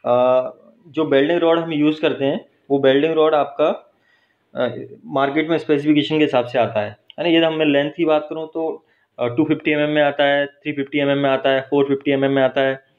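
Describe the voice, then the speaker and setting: A man's voice: fairly high pitch, fairly even intonation, a little fast. Man explaining in a workshop. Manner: explains step by step, checks in with "ठीक है" and "है ना". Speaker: teacher. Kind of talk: hands-on technical explanation. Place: welding workshop. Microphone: phone held while filming. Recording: dull lo-fi phone recording.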